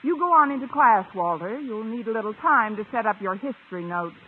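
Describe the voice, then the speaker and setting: A woman playing a wry, wisecracking high-school English teacher in a 1950s radio comedy, with dry, sardonic delivery. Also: animated, fairly quick, breathy edge. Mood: friendly, wry. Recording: very noisy, tinny old radio recording.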